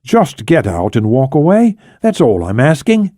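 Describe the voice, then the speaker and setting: A man's voice: mid-range voice, wildly swooping pitch, a little slow, warm tone, no room sound, studio quality, pitched above the performer's own voice. A man voicing a determined female operative who holds firm under pressure in a thriller audiobook. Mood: tense pleading.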